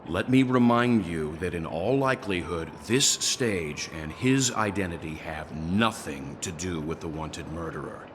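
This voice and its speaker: An actor playing a calm, serious male traveler in a video game, speaking in a measured, earnest way. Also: sits low, noisy background. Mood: composed warning.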